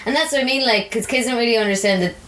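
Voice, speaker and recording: An Irish woman hosts a chatty, informal interview in a rapid, fairly high voice. She is quick to agree and react. The room adds a clear echo. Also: fairly bright tone. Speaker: interviewer, host. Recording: roomy echo, background noise.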